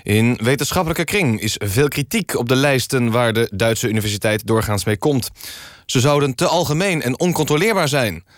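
A man's voice: medium pitch, animated, normal speed, no echo, studio quality. A man presenting a scripted mock news magazine on the radio as its host.

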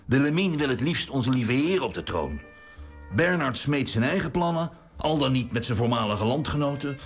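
An actor playing a cynical government minister in exile, sarcastic and conspiratorial in a radio drama. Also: male voice, medium pitch, lively intonation, moderate pace, soft background music, close to an everyday voice. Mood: scheming. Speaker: cabinet minister in wartime exile.

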